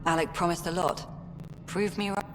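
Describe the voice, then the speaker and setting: An actress playing a jaded, blunt and sardonic colony director in a video game, in a noisy, echoing space. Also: female voice, lowish voice, fairly even intonation, normal speed, close to an everyday voice. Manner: sardonic, terse. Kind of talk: video game dialogue acting.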